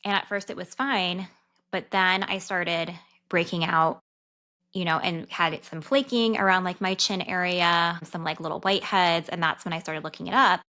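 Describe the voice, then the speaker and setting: A woman's voice: on the low side, steady tone, bright, forceful tone. A woman in her thirties hosting a podcast, talking candidly and casually about a personal experience. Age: 30s. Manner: candid, chatty, fast-talking. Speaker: podcast host and dietitian.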